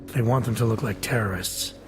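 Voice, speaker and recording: A low-voiced male game agent, played by an actor, guarded and skeptical. He talks with restraint and no nonsense, over a music score. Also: brisk, natural voice. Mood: guarded, determined. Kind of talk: video game cutscene acting.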